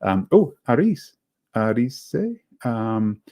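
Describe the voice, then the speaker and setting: Man, slight South African accent, low dark voice, online course presenter, encouraging, rambling with restarts. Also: big pitch swings, slow. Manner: encouraging, praises each participant's chat comment. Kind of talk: live webinar teaching.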